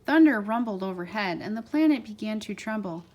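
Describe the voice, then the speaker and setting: A woman's voice: medium pitch, lively intonation, a little fast, darkish tone, dull audio. A woman narrating a children's storybook aloud as a parent, expressively stressing key words.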